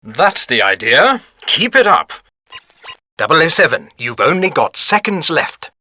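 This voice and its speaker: An actress playing an alert, clipped mission controller warning and instructing an agent in an action game. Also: female voice, sits low, big pitch swings, measured pace, pushed and bright, exaggerated voice. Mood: urgent, commanding. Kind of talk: scripted game radio prompts.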